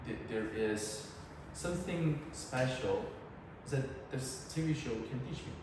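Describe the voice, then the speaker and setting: A young man with an Uzbek accent talks earnestly in a relaxed chat, often pausing and restarting his sentences. He sits well back from the microphone in a strongly echoing room with a long reverb, and steady background noise runs beneath his voice. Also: medium pitch. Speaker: guest. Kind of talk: casual chat. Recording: echoey room, far from mic, background noise.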